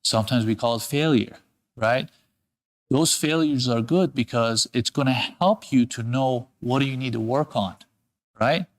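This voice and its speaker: A man's voice: medium pitch, unhurried. Emphatic man coaching. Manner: earnest, repetitive, emphatic with rising bursts. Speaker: host and self-development coach. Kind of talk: motivational talk. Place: studio.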